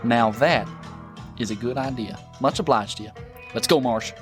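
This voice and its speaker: An actor playing a scheming, bossy American bandit in an audio drama, with an impatient folksy frontier drawl. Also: male voice, medium pitch, normal speed, music in the background, lightly acted. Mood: sly. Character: scheming, bossy, dim-witted bandit brother, male.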